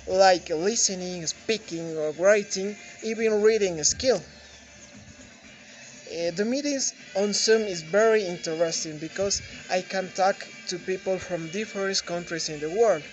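A young man from Ecuador with a Spanish accent, giving a prepared testimonial in careful, halting learner English, recorded outdoors on a phone. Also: sits high, poor audio. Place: outdoors under open sky.

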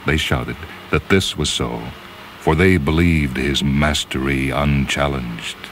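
A man reading a story as a dramatic narrator, with measured, solemn and deliberate phrasing. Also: extremely low pitch, fairly bright tone, a little hiss or hum. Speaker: narrator reading short fiction. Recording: music under the voice. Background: orchestral piano music.

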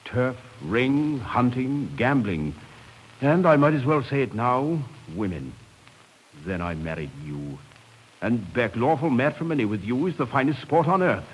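An actor playing a bluff, devoted English cavalry officer with a clipped manner in a 1950s radio drama. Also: male voice, medium pitch, sing-song, a little slow, slightly rough, low-quality recording. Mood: tender, earnest. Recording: hissy 1950s radio recording.

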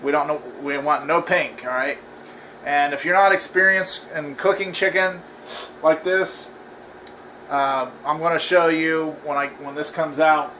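A man hosting a casual home cooking demo in his kitchen, talking in a relaxed, rambling way with lots of fillers and restarts. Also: on the high side, a little slow, a little hiss or hum. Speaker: cooking channel host.

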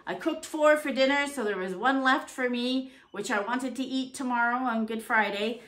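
A middle-aged woman with a fairly high voice chats her way through a grocery haul at her kitchen table, reading each price aloud and musing as she goes. A faint hiss sits under the recording. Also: normal speed. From Canada. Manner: chatty, reads prices aloud, thinks out loud. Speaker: vlogger. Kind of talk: casual grocery haul chat. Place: home kitchen. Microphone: fixed camera at table.

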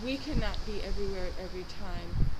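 A woman's voice: medium pitch, fast talker. Earnest woman in very noisy outdoor audio. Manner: earnest, conciliatory, repeats phrases. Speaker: churchgoer. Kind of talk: casual street conversation. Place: outdoors on church steps in a city street. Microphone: body-worn camera worn by another person, a few steps away.

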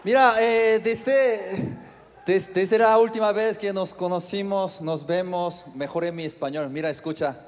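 A man from Korea speaking Spanish with a Korean accent as a non-native speaker, doing stand-up with halting phrasing, lots of fillers and sudden shouted outbursts. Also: high voice, a little hiss or hum. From South Korea. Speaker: stand-up comedian. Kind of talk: stand-up comedy set. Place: large theater stage. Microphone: handheld mic. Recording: live hall reverb with audience.

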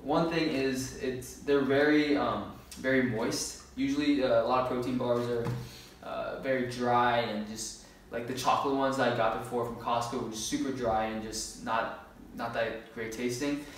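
A man's voice: medium pitch, fairly even intonation, normal speed. A man of about twenty talking in a laid-back, informal, slangy way, like a casual vlogger. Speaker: fitness vlogger. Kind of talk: casual grocery haul vlog. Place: bare-walled room indoors. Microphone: camera a few metres away. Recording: echoey room, distant mic, faint noise.

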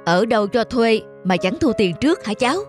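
A woman's voice: on the high side, animated, a little fast, natural voice. A woman voicing a practical, admonishing middle-aged landlady in a cartoon. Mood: firm. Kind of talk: animated moral-story dialogue. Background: background music.

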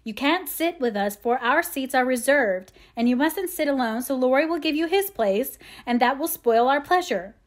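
Teenage older sister voiced by a woman, fairly high pitch, bossy, impatient, cross, brusque scolding, storybook character. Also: sing-song, moderate pace, hardly any pauses.

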